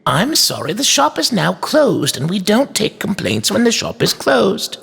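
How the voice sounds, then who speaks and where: A man performs an elderly storybook toy maker with a high, lilting, gravelly voice. He is clipped and courteous, a stern but kindly old gentleman. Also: wildly swooping pitch, unhurried, heavily acted. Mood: curt. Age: older. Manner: clipped, formally polite.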